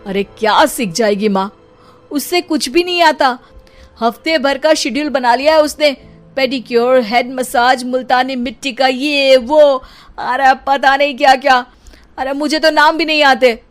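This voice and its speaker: An actress voicing a fed-up young husband who complains rapidly to his mother. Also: high-pitched, soft background music. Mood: exasperated.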